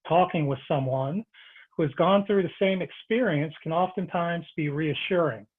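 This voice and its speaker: A moderator speaking over a video call, reading a prepared introduction in a measured, formal way. The pitch sits in an ordinary middle range. The audio is thin and tinny, like a phone line. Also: husky.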